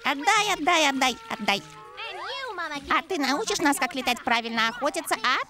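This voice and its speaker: A woman's voice: sits high, animated, moderate pace, voice pushed down. A woman voicing hungry, squabbling baby eaglets in a dubbed cartoon. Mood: whiny. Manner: whiny and grabby. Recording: music bed.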